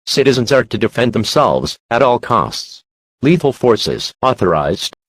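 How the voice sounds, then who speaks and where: A man voicing a grave automated emergency alert announcer in a formal, measured, deliberate manner for a scripted drama. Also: mid-range voice, big pitch swings, a bit bright, slightly rough, no echo, full character voice.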